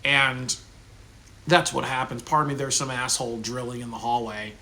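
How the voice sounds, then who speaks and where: Bright-voiced man rambling through a review. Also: medium pitch, level tone, moderate pace. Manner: rambling, many fillers and restarts. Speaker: video reviewer. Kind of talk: casual spoken commentary.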